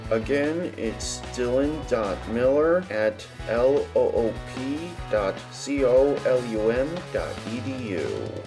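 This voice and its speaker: A man presents a music podcast in a medium-pitched voice, with music playing behind him. He delivers intros and prepared interview questions in a polite, formal manner. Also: expressive, slow pace, few pauses.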